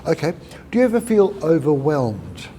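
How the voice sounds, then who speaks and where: A middle-aged man hosting a conversational interview, speaking in a measured way, asking questions and summing up answers. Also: on the high side, huge pitch swings, dark tone, slight background noise. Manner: measured, repeats and sums up answers. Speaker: podcast host.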